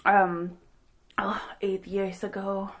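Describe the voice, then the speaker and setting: A woman's voice: medium pitch, flat delivery, takes it slowly, a bit bright. A woman in her twenties hosting a casual eating chat, chatty and laughing often. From Sweden. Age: 20s. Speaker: mukbang host.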